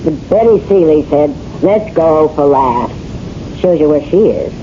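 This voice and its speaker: A woman's voice: deep voice, expressive, a little slow, warm tone. An actor playing a brassy, flirtatious wife fed up with her husband, in slangy, wisecracking 1970s radio drama style, played by a woman. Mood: dismissive, chatty. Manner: slangy, wisecracking, ungrammatical delivery. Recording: muffled, hissy old radio broadcast recording.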